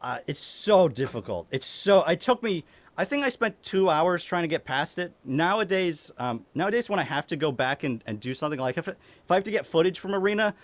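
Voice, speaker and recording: A man's voice: on the high side, lively intonation, fairly quick, dry recording. A young man with a light Maine accent, a streamer casually answering chat questions at home, chuckling often and swearing casually. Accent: light Maine (New England).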